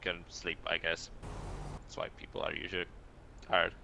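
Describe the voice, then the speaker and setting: A man's voice: sits low, completely flat delivery, normal speed, gravelly. Man acting sluggish tired driver, noisy dull audio. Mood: weary, evasive. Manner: sluggish, mumbling, groaning. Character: tired, queasy, reluctant male driver. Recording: noisy, dull game voice chat.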